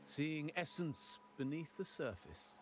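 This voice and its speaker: A man voicing a refined, contemplative gentleman in a video game, deliberate and reflective, with music behind him. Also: a little high, animated. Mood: pensive, solemn. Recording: loud background music.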